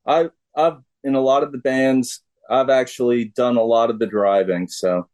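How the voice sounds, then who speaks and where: A man's voice: medium pitch, steady tone, moderate pace, darkish tone. A middle-aged man answering questions as a guest in a casual interview.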